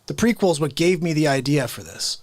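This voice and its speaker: A man's voice: on the high side, sing-song, a little fast, no echo. A man hosting a livestream, chatting upbeat with his viewers and greeting chat members by name. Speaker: livestream host.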